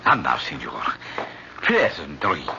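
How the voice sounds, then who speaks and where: An actor playing an unctuous, sly little hotel keeper with a Spanish accent and flowery, obsequious manner in an old-time radio drama. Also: male voice, extremely low pitch, wildly swooping pitch, takes it slowly, gravelly, heavily acted. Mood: smooth, unctuous. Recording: dull, noisy old radio recording.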